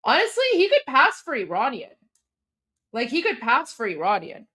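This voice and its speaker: A woman's voice: high voice, moderate pace. A woman with a Canadian accent hosting a livestream in casual, rambling chat full of fillers. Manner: rambling, filler-heavy, animated. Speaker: livestream host.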